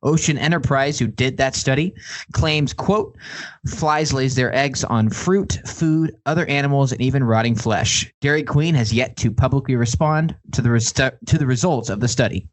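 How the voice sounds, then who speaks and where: A man's voice: mid-range voice, normal speed, poor audio. A man co-hosting a podcast, casually presenting stories for a game. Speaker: podcast co-host.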